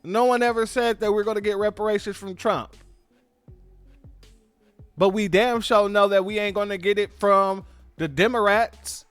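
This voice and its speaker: Fields: Sex: man. Role: commentary host. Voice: high, dry. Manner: emphatic, repetitive. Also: fairly even intonation, moderate pace, long pauses, fairly bright tone, clean tone, no echo, faint music behind. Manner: emphatic, repetitive, informal, addresses viewers as family. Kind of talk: political commentary on clips.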